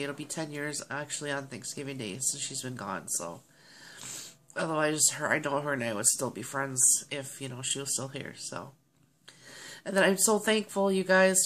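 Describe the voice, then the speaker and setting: Low-voiced woman with a nasal cold. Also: fairly bright tone, a little hiss or hum. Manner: rambling, friendly, with many ums and throat clearing. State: stuffy nose from a cold. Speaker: vlogger. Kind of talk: casual chat to camera. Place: small home office, indoors. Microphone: webcam at desk.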